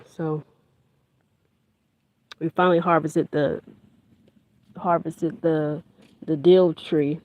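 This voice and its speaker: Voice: medium pitch, level tone, slow pace, pauses often, darkish tone. An adult teacher of young children chatting casually on a phone livestream while working in a backyard garden, rambling and restarting sentences. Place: outdoor yard garden. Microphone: handheld phone livestream. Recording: outdoor noise from a running generator. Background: generator hum and vehicle noise.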